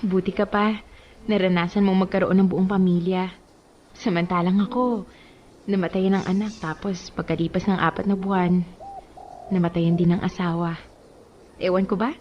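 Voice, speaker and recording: An actress playing a gentle, guarded young widow in a Filipino radio drama, with an emotional, heartfelt delivery. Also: female voice, fairly low pitch, level tone, normal speed, pauses often, muffled recording, a little hiss or hum, close to an everyday voice. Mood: wistful. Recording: music behind the voice.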